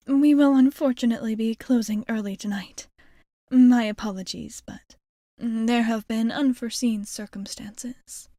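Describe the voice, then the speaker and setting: A woman playing a courtly, doting vampire bartender in a soft-spoken, partly whispered ASMR roleplay, with formal, genteel phrasing. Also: on the high side, dry recording, clean studio sound. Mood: polite, composed. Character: courtly, doting adult female vampire bartender, long-lived.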